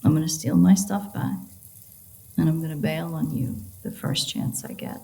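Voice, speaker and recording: A woman acts out a playful vampire woman with a lowish, dark, relaxed voice. She is sardonic and flirty, with plenty of vocal fry. The delivery is intimate audio roleplay, free-spirited and foul-mouthed. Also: moderate pace. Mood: sarcastic, teasing. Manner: teasing, sarcastic, flirtatious, swearing.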